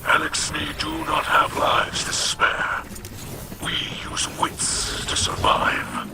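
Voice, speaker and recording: A man voicing a solemn alien sacred guide in a breathy, rasping growl, measured and formal, for a video game. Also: fairly high pitch, monotone, very noisy. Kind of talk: video game cutscene acting. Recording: loud music behind the voice. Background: loud game score.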